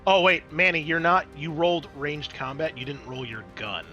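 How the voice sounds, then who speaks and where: A man's voice: a little high, animated, normal speed, pushed and bright, dull audio, soft background music. A man acting as game master, casually explaining rules and narrating a tabletop game.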